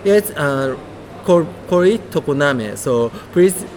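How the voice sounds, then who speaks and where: A man with a Japanese accent and a fairly high voice speaks halting, simple English, pausing often to search for words. He is a guest being interviewed at an event venue, with clear background noise behind him. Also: expressive, darkish tone. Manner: halting, simple English with many pauses and fillers. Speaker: guest, tournament judge. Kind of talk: casual interview answers.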